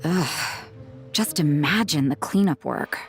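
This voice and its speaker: Lowish-voiced, wry, overworked lady. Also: expressive, takes it slowly, soft background music, heavily acted. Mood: wry, weary. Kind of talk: anime game voice acting.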